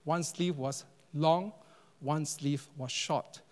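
A man with a Singaporean English accent preaching a sermon as pastor, animated and emphatic, repeating phrases for effect. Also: fairly high pitch, takes it slowly. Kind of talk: sermon to a congregation. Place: church auditorium. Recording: long reverb of a large church hall.

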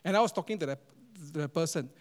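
Singaporean man, pastor, high voice, emphatic animated sermon, long church-hall reverb. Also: fast talker. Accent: Singaporean English. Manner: animated, emphatic, repeats phrases for effect. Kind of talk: sermon to a congregation. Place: church auditorium.

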